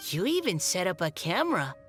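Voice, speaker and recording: A woman's voice: on the low side, sing-song, brisk, a bit bright, faint music behind. An actress playing a shy, polite, flustered young woman in a video game, stammering and apologetic.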